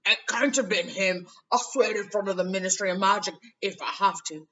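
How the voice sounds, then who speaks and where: A man's voice: high voice, brisk, bright, forceful tone, distant mic, dull audio, voice pushed up. A woman voicing a huge, shaggy, loyal man who rants urgently in a storybook reading. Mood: frantic insistence. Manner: urgent ranting. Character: huge shaggy wild-eyed man, loudly agitated and loyal.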